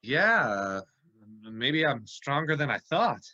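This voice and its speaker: A man plays a gallant young lawyer in a vintage radio crime play, earnest and easily flustered. His voice is forceful and swings in pitch. The recording is a little dull. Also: sing-song, takes it slowly, full character voice. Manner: hesitant, protesting. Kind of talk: old time radio drama acting. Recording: slightly muffled recording.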